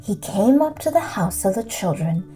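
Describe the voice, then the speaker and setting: A woman reading a children's story aloud as narrator, with deliberate phrasing. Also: medium pitch, sing-song, dark tone, low-quality recording. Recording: music behind the voice.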